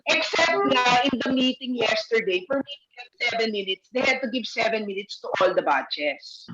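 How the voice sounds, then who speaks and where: A woman's voice: fairly high pitch, lively intonation, moderate pace, music in the background. A middle-aged woman with a Filipino accent leading a casual group discussion in Taglish, emphatic and repeating her phrases. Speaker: organizer leading an online rehearsal. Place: video call. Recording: dull, lo-fi video call audio.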